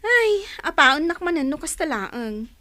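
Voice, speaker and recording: A woman's voice: high-pitched, big pitch swings, a little slow, sharp tone, dry recording, full character voice. A woman playing a kind, earnest young working woman in an amateur serial drama. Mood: complaining.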